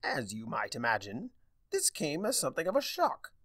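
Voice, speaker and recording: A man voicing a theatrical, sardonic, mischievous male chaos spirit with a wry, drawn-out delivery in a fan fiction reading. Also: on the high side, huge pitch swings, fairly bright tone, no echo, studio quality, voice pushed up, full character voice.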